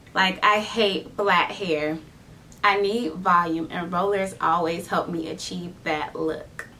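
A woman's voice: lowish voice, steady tone, takes it slowly, bright, forceful tone, a little hiss or hum, poor audio. A young woman chatting to camera in a playful vlog, acting out salon moments. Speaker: beauty vlogger. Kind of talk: casual vlog chat to camera. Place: indoors in front of a decorated backdrop wall.

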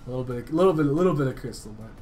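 A man's voice: mid-range voice, expressive, very fast, a little mellow, faint music behind. A man chatting casually on a call, rambling in loose slang with plenty of swearing. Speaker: gaming video creator making a prank call. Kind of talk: casual phone chat.

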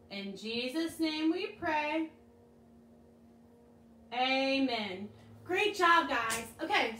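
A young woman with a high voice leads a children's devotional and craft lesson. She speaks brightly and animatedly to the kids, seated on a living room couch. She is recorded a few feet from the camera, so the room echoes around her voice. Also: slight background noise. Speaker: children's chapel leader. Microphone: camera a few feet away. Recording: echoey room, mic at a distance.

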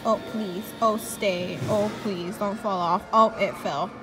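A young woman vlogging casually in a large indoor mall, chatty and pleading excitedly at a claw machine, recorded on a handheld phone. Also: medium pitch, slow pace, over music. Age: young adult. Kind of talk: casual vlog commentary. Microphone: phone camera at arm's length.

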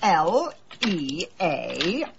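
A woman's voice: lowish voice, big pitch swings, very slow, gravelly, full character voice. An actress playing a polite, sympathetic middle-aged woman sleuth in a radio drama, tactful and a little hesitant. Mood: polite, playful. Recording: slightly dull, noisy recording.

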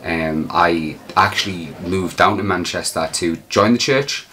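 A young man, a street preacher, reflecting haltingly in an interview. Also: deep voice, bright, forceful tone, slight background noise. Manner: reflective, hesitant with restarts. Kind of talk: documentary interview.

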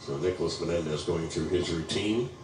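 A man's voice: low-pitched, fairly quick, roomy sound, distant mic, noisy background. A middle-aged man calling a youth bowling match as play-by-play commentator, wry and conversational. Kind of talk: sports broadcast commentary.

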